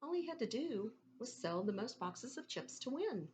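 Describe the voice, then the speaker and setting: A woman reading a children's story aloud in an expressive, deliberate teacherly way, pausing to put questions to her listeners. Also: fairly high pitch, slight background noise.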